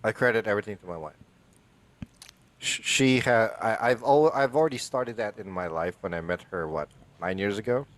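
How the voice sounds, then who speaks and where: A man's voice: lowish voice, expressive, normal speed. A man in his forties from the Philippines, co-hosting a podcast in a casual, rambling way with many restarts. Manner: rambling, restarts, laughs often. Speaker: podcast co-host.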